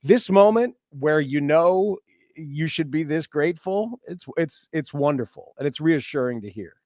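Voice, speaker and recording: Higher-voiced middle-aged male sports interviewer. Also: expressive, unhurried, darkish tone, no echo, studio quality. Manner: encouraging, repeats phrases as he forms questions. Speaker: sports radio host, interviewer.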